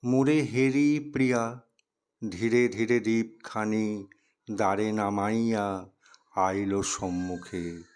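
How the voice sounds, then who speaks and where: A man with a low, smooth voice recites poetry solemnly. He moves very slowly, setting each short phrase apart with a deliberate pause. The delivery is measured and resonant. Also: steady tone, a little mellow.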